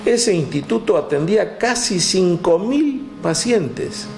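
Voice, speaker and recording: A man with an Argentine accent, telling history in a relaxed chat, with many "este" fillers, reading out figures from a text. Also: sits high, takes it slowly, creaky, reverberant, slight background noise. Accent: Argentine Spanish. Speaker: guest storyteller. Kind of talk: conversational history talk.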